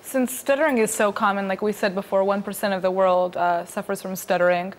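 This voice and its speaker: Woman host asking questions, mid-pitch, light hiss behind her. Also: steady tone, a little fast. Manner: conversational, asks follow-up questions. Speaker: news show host. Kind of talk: studio interview.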